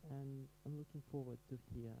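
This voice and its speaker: A man's voice: medium pitch, fairly even intonation, fairly quick, dark tone, slight background noise, rough recording. A man from Bosnia and Herzegovina giving a short, straightforward self-introduction.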